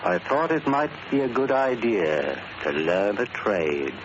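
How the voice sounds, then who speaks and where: Low-voiced, bitter ex-convict. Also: male voice, very animated, measured pace. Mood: guarded. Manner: brooding, dramatic. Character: weary, bitter middle-aged released convict. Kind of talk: 1940s radio acting. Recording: noisy, muffled old radio recording.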